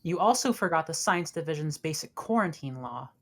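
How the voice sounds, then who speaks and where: A woman's voice: low voice, lively intonation, a little fast. A woman playing a stern, wary senior officer on a spaceship, blunt and challenging, in a sci-fi table read. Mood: accusing, firm.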